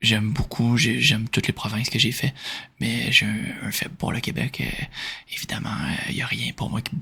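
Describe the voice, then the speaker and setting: A man from Quebec with a Québécois French accent, speaking in a soft, breathy whisper as he informally answers questions in an ASMR style. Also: medium pitch, flat delivery, moderate pace. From Quebec, Canada. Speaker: ASMR video creator answering viewer questions.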